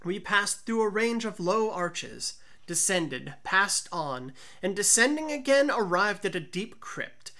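A woman narrates a gothic tale as an audiobook reader. Her voice is bright and forceful, with lively swings of pitch and a deliberate, theatrical delivery. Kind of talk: dramatic gothic storybook narration.

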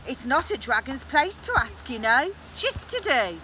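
A woman's voice: sits high, sing-song, a little slow, sharp tone, slight background noise, full character voice, poor audio. A woman voicing a polite, mild old green dragon with genteel, old-fashioned phrasing in a storybook reading. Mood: mild, resigned.

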